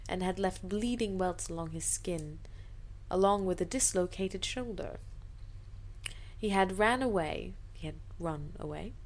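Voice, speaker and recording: A woman's voice: lowish voice, darkish tone. A woman doing an amateur dramatic reading of fanfiction, theatrical, with incredulous, sarcastic asides.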